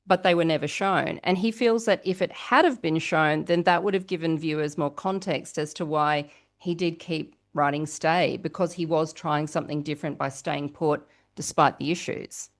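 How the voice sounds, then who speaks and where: A woman with a fairly low-pitched voice hosts a gossip podcast about reality TV. She talks casually and chattily, addressing listeners directly with rhetorical questions. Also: normal speed, few pauses. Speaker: podcast host.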